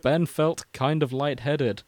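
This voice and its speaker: A British man hosting a podcast, reading fan fiction aloud with jokey, deadpan asides. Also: on the high side, slow, no room sound. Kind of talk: dramatic comic reading with casual banter.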